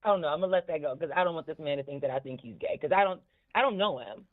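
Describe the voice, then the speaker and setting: A woman's voice: deep voice, racing. A woman with a smoky voice chatting casually and candidly about podcasts, swearing freely and prone to laughing. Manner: candid, profane, laughs often. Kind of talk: casual chat, commentary on podcasts. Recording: thin, tinny phone-line audio.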